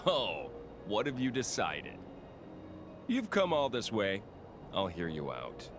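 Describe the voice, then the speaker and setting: A man's voice: on the low side, wildly swooping pitch, takes it slowly, background noise, exaggerated voice. An actor playing a cruel, mocking, theatrical male villain in a video game cutscene. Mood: sneering. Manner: taunting, sneering, theatrical. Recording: loud background music.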